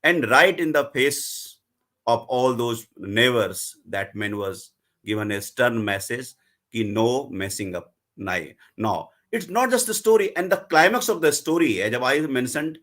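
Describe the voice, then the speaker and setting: A man's voice: medium pitch, expressive, a little slow, a bit bright. A middle-aged man, a festival panelist who tells stories at length and retells scenes with gusto. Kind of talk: animated book discussion at a festival.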